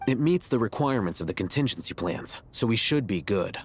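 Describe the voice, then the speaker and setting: An actor playing a cool, rational scholar in a video game, blunt, deadpan and matter-of-fact. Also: male voice, lowish voice, brisk, a little hiss or hum. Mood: dry. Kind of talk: video game dialogue acting.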